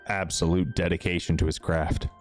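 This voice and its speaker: A man with a deep, smooth voice giving casual live commentary as he plays a game, chatting away to his characters. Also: little pitch movement, normal speed. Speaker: game streamer, host. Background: music.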